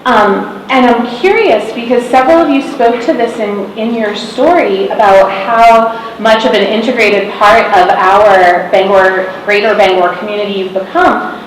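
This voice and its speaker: A woman's voice: mid-range voice, measured pace. Woman panel moderator, thoughtful and hesitant, distant and echoey with faint noise behind. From Massachusetts, USA. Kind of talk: panel discussion. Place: school hall. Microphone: distant room mic. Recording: echoey hall from far off.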